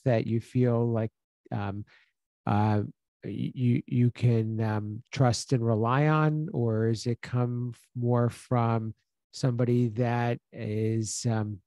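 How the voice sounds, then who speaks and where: A man in his forties co-hosting a casual podcast over a video call, asking long, rambling questions full of restarts, close on a boom podcast mic. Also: mellow, dry recording. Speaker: podcast co-host. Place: home office on a video call. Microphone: boom podcast mic close to mouth.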